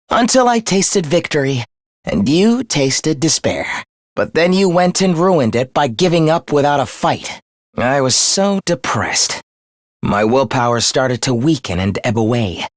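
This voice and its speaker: A sadistic young male game villain with a high voice that swings dramatically up and down. He taunts with mock politeness and obsessive, unhinged glee. The sound is close and dry. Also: no room sound. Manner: taunting, theatrical, mock-cheerful. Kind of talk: theatrical video game voice acting.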